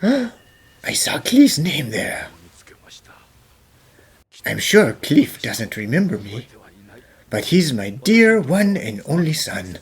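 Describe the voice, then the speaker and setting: A woman voicing an earnest, remorseful male chef and devoted father in a cartoon fan dub, halting and emotional. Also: low voice, very animated, slightly rough, full character voice. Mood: regretful. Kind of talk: fan-dub character acting, dramatic storytelling.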